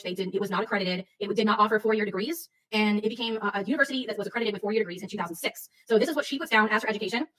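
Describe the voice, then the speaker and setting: A woman with a medium-pitched voice talks very fast as she explains details. Her style is casual online commentary, set out point by point. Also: fairly bright tone, rough recording.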